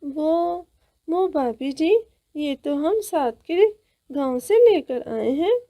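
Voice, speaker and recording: A woman's voice: high-pitched, expressive, slow pace, mellow, voice pushed up, heavily acted. A woman voicing a meek, apologetic cartoon bird with a hesitant, deferential way of talking.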